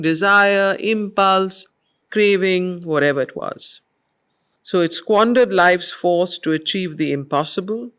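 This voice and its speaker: A middle-aged woman with an Indian accent, reading poetry and explaining it in a deliberate, emphatic, didactic manner as a study circle teacher. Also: lowish voice. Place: group study session.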